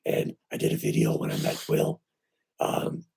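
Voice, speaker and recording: A man with weak, breathy and raspy vocal cords, hoarse and short of breath, hosting a casual live stream from home on his computer and talking haltingly with many fillers. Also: a bass voice. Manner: halting, with many fillers and restarts, lively when greeting viewers. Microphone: computer microphone.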